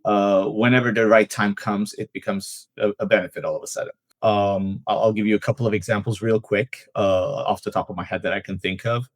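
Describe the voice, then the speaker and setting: A man's voice: fairly low pitch, steady tone, brisk, few pauses, dry recording. A man who works as an immigration attorney, telling anecdotes as a podcast guest with frequent "uh" fillers. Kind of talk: casual podcast interview.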